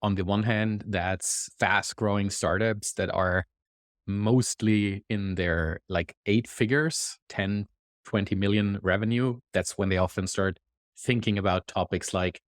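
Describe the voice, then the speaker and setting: Low-voiced man with a German accent. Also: fairly even intonation, dry recording, studio quality. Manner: thoughtful, explanatory, with measured pauses. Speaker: guest, executive consultant. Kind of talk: conversational interview.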